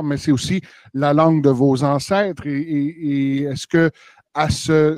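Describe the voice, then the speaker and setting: Guy hosting a book panel, kinda high voice, super dry close sound. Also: a little mellow, no room sound. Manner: measured, formal questions with some restarts. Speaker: panel moderator. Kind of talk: moderating a literary panel.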